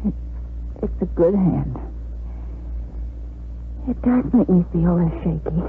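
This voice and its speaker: An actress playing an anxious, soft-hearted young woman in a 1950s radio drama. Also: lowish voice, animated, slow. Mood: dazed, soft. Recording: old radio broadcast, narrow band, faint noise.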